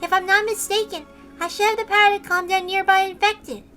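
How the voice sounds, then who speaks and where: Small determined girl, played by a woman, extremely high bright voice, earnest, music behind. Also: fairly even intonation, fairly quick. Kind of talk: dramatic visual novel read-aloud.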